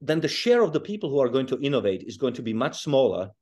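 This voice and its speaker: A man from Czechoslovakia and South Africa, a guest author, explaining his ideas in a relaxed interview over a video call, often restarting phrases. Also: mid-range voice, fast talker. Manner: thoughtful, restarts phrases, chuckles while explaining.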